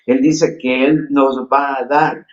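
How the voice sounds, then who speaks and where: A man's voice: medium pitch, level tone, slow, lo-fi sound. Earnest Honduran preacher man. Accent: Honduran Spanish. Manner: earnest preaching with frequent restarts and fillers. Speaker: pastor. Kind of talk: sermon.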